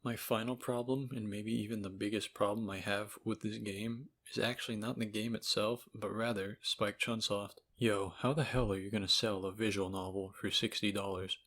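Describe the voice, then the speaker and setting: A man's voice: fairly low pitch, fairly even intonation, normal speed, few pauses, fairly bright tone. A man giving casual, opinionated video essay commentary as a game reviewer, speaking informally with sarcasm and profanity. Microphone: cheap microphone.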